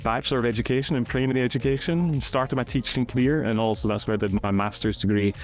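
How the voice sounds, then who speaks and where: A man in his forties with a Glaswegian Scottish accent, an education professor explaining his career in a measured, articulate way as a podcast guest. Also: mid-range voice, faint music behind. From Scotland. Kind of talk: podcast interview, reflective explanation.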